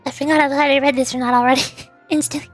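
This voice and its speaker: A woman's voice: high-pitched, level tone, racing, soft background music. A woman chatting casually on a live game stream as a virtual YouTuber, rambling along as she talks. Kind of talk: casual live stream chat while reading game dialogue.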